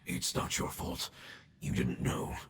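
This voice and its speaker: An actor playing a dying ancient male creature who speaks in a hushed, gravelly, solemn way in a game cutscene. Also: a little hiss or hum, lightly acted. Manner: solemn, measured farewell. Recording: echoey voice over game music.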